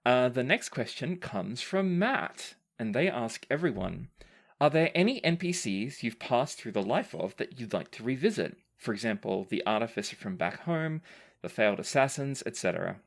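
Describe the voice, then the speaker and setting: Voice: medium pitch, very animated, moderate pace, creaky. A podcast host and game master reading listener questions aloud and chatting casually, in a conversational, playfully emphatic way.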